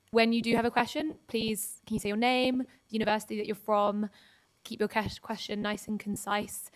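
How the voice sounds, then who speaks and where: A woman moderating a conference panel, giving brisk, organised instructions. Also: mid-range voice, fairly bright tone, low-quality recording. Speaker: panel chair.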